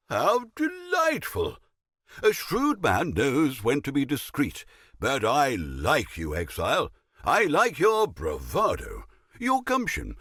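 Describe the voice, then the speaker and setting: A pompous middle-aged naval captain who fences goods on the side, a schemer from a video game. His pitch swings wildly from low murmurs to high flourishes, and he takes his time, savoring each word with oily theatrical relish. The sound is close and dry. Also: a bit bright, no room sound, heavily acted. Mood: delighted flattery. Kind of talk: video game character dialogue.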